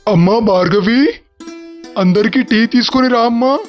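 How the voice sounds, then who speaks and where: A man's voice: very high voice, normal speed, muffled recording, faint music behind. A man playing a humble, deferential older village father in a dramatized story. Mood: fond. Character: humble village cremation-ground keeper, doting older father. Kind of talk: dramatized moral story acting.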